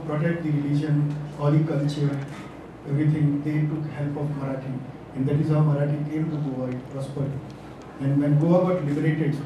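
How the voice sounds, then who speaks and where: A man speaking on a discussion panel, thoughtful and halting with many fillers. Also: on the high side. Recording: echoey distant hall recording with noise.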